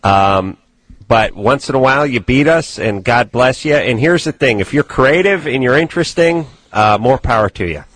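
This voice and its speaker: A man's voice: mid-range voice, lively intonation, normal speed, dry recording, rough recording. Brash joking radio host, man. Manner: brash, loud, irreverent. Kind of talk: crude joking call-in banter.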